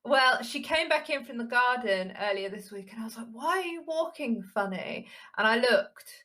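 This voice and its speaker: Young female co-host, fairly high bright voice, wry casual banter. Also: animated, moderate pace. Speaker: podcast co-host.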